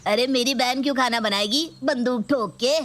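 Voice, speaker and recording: A woman's voice: on the high side, moderate pace, a bit bright, lightly acted. A woman voicing a cocky, protective young male thug with a blunt, bragging manner in a story video. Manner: blunt bragging with a repeated gun catchphrase. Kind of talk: dramatised Hindi story video.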